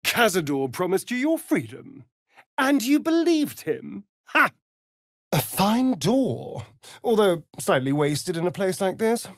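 A flamboyant British vampire elf from a fantasy game. His delivery is slow and drawn out, with pitch that swoops dramatically through each phrase. He sounds vain and sardonic, recorded close and dry. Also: sing-song, no room sound, heavily acted. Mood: arch, mocking. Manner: arch, drawling, mocking, dramatic. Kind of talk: theatrical fantasy video game acting.

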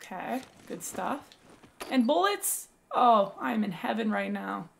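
Young woman streamer, gravelly raspy voice, excitable running commentary. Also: fairly high pitch, measured pace. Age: young adult. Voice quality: raspy from yelling. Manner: excitable, exclaiming at finds. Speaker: gaming YouTuber. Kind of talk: let's play commentary.

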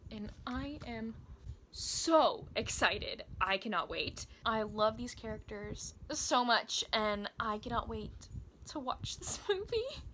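An American woman in her twenties chatting casually to camera as a book vlogger, gushing and emphatic in an expressive way. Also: sits high, slight background noise. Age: 20s. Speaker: book video blogger. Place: small indoor room by bookshelves.

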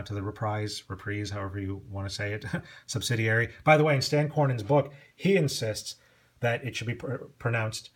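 A man giving casual, off-the-cuff commentary as a knowledgeable, chatty record collector who tends to digress. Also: on the low side. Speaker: record collector presenting a music vlog.

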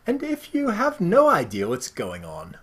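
A man's voice: fairly high pitch, wildly swooping pitch, a little slow, a bit bright, slightly rough. A middle-aged man co-hosting a live quiz show in a playful, teasing way, recorded at a desk in a room with bookshelves. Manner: playful, teasing, reads options aloud with lots of laughing. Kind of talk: casual, joking quiz hosting.

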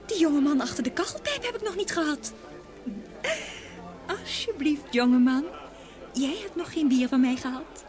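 An actress playing a young, hopeful princess in a fairy tale, gracious and dainty. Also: female voice, high-pitched, moderate pace, long pauses, natural voice. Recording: music behind the voice.

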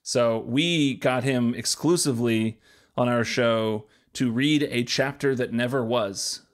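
Male podcast host, average pitch, informal and conversational delivery. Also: slow. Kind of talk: casual podcast chat.

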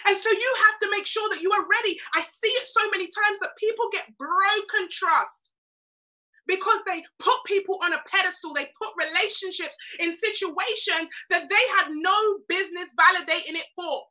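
High, bright-voiced Jamaican woman mentor. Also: moderate pace, rough recording. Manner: emphatic, preachy, repeats lines for effect. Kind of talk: motivational webinar talk. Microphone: webcam on a video call.

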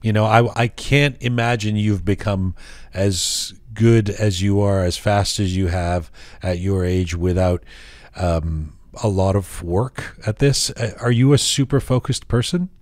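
A man hosting an interview, asking long questions in a halting way with many restarts. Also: lowish voice, no echo. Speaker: podcast host, interviewer.

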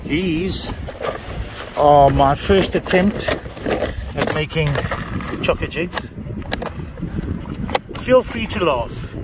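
A middle-aged man with a slightly raised voice chats eagerly to his viewers as he fishes off a harbour pier. He is recorded on a small action camera, and loud wind and sea noise roll over his voice. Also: on the high side, drawn-out words, long pauses. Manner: chatty and excitable, talking to viewers. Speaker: fishing vlogger. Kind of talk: casual vlog narration. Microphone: handheld or body-worn action camera.

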